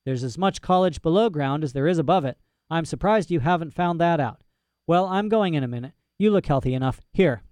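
A man's voice: fairly high pitch, lively intonation, a little fast, darkish tone, clean tone, no room sound, close to an everyday voice. A man reading aloud plays a stern, sardonic aristocrat who questions a child in curt, probing lines.

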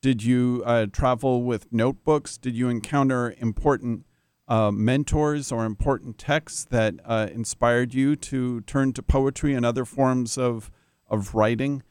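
A man hosting an interview, speaking in measured, formal sentences with occasional fillers. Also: mid-range voice, fairly even intonation, darkish tone, clean tone, no echo. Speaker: interview host. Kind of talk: radio-style interview.

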